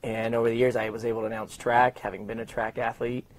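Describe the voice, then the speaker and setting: A man's voice: mid-range voice, little pitch movement, fast talker, low-quality recording. Middle-aged American man, conversational storyteller. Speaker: sports public address announcer being interviewed. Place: open indoor lobby studio at a desk.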